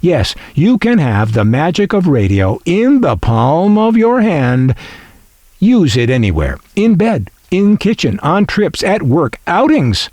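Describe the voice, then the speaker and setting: Male narrator, slow-paced and expressive, wry and folksy, close dry sound. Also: fairly high pitch, dry recording. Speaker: collector and narrator. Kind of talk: scripted narration.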